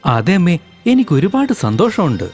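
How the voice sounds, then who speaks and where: A man voicing a hardworking young village laborer who talks in an earnest, pleading way, for an animated storybook. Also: high voice, moderate pace, slightly rough, soft background music, exaggerated voice.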